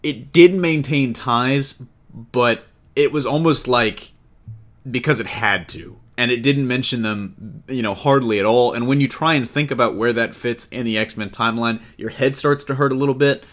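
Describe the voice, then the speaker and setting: A man's voice: mid-range voice, moderate pace, fairly bright tone. A man hosting a casual entertainment news discussion, talking in a conversational, joking way. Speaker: podcast host.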